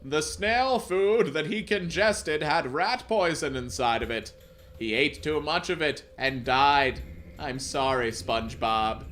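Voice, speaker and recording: Man playing cartoon fish doctor, sombre, depressed, deliberate, background music. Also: on the high side, fairly bright tone.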